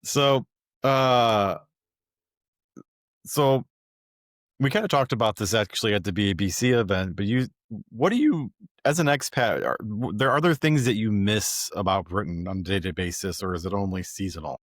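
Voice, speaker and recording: Low-voiced American man, halting delivery. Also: dry recording, studio quality. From American Midwest. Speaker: podcast host. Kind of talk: casual conversational interview.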